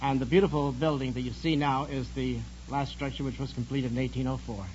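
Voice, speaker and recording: A dulled 1950s broadcast with a faint noisy hum holds the voice of an American man. He is a priest answering a quiz host's questions at an ordinary male pitch, sincere and courteous as he explains. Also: steady tone, fairly quick. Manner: earnest, polite, explanatory. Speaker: priest, quiz show contestant. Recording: dull, noisy, humming 1950s broadcast recording.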